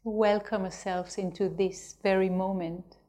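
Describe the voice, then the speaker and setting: A woman teaching a guided meditation, speaking gently and unhurriedly with long pauses between phrases. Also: medium pitch, fairly even intonation.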